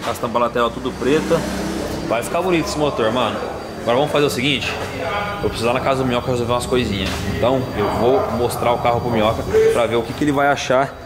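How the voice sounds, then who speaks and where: A man in his thirties, a car vlogger, chatting in fast slangy banter outdoors while filming with a handheld camera. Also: mid-range voice, loud music behind the voice. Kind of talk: casual vlog chat with friends. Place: outdoors and garage, street.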